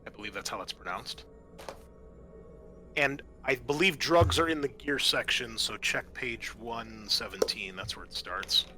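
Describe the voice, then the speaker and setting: A man's voice: medium pitch, unhurried, music in the background. A man acting as game master of a tabletop roleplaying game, talking casually to the players.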